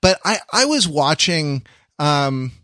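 A man's voice: a little high, sing-song, slow pace, sharp tone, dry recording, studio quality. A man co-hosting a casual comic panel chat, telling stories in a wry, rambling, digressive way. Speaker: podcast co-host.

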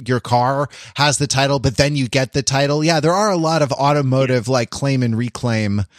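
An American man co-hosting a podcast in casual comedic banter, talking in a playful, rambling, halting way. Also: medium pitch, no echo, studio quality. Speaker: podcast co-host.

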